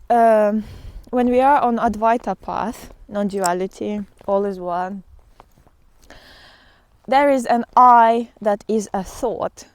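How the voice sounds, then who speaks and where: A woman giving an informal spiritual talk to followers in a halting, reflective way with drawn-out pauses, as a vlogger out on a walk. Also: medium pitch, dry recording.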